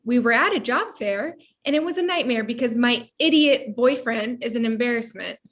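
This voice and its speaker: A woman's voice: on the high side, animated, moderate pace, a little hiss or hum, close to an everyday voice. A woman playing an exasperated, scolding teenage daughter in a comedy table read. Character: exasperated teenage daughter fed up with her boyfriend.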